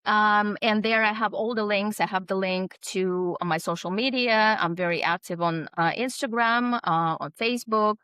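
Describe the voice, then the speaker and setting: A woman speaking as an interview guest, reflective and conversational, pausing often as she thinks aloud. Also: medium pitch, fairly bright tone, clear, resonant tone, no room sound. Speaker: podcast guest, author.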